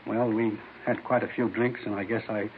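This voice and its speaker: An actor playing an uneasy, down-on-his-luck man informing the police, speaking haltingly and earnestly in 1950s radio drama style. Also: on the low side, flat delivery, lightly acted. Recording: hissy, tinny old radio recording.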